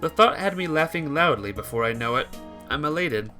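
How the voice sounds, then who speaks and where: A man live-streaming a visual novel, reading the text aloud and breaking off for casual, halting asides to his viewers. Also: on the high side, lively intonation, bright, forceful tone. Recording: music behind the voice.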